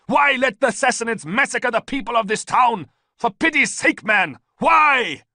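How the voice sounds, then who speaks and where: A man's voice: mid-range voice, expressive, quick pace, no room sound, studio quality, pitched above the performer's own voice, natural voice. A man voicing a male military commander who bellows orders in battle. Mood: furious.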